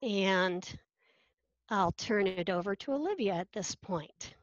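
Slow, measured woman on a dull, dry call. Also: mid-range voice, lively intonation, dry recording. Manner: measured, talking through a live demo. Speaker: faculty presenter. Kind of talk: conference presentation with screen demo.

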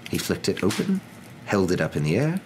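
A young man narrating a story in a soft, dramatic ASMR reading style. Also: deep voice, huge pitch swings, fairly quick, a little hiss or hum, rough recording. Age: young adult.

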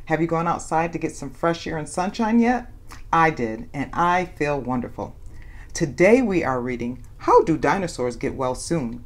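A woman's voice: sits low, normal speed. A woman hosting a children's read-aloud, telling a picture-book story expressively and dramatizing it for young listeners. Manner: animated, dramatizes questions and exclamations for young children.

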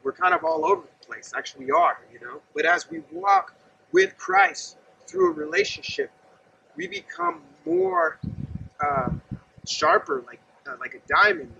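A man in his thirties preaches in an earnest, conversational way. His voice sits very high and is heavily gravelly, with a bright, tense edge and lively swings in pitch. He stands outdoors some distance from the camera, with a faint open-air hum behind him. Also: unhurried, muffled recording. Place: outdoors by a gravel lot and fence.

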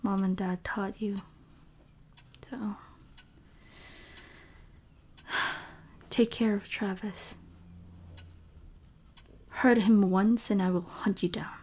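An actress playing a hurt, caring but firm young woman in an emotional audio drama, breathy and earnest, often near whispering. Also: mid-range voice, fairly even intonation, slow pace, long pauses, mellow, a little hiss or hum, close to an everyday voice.

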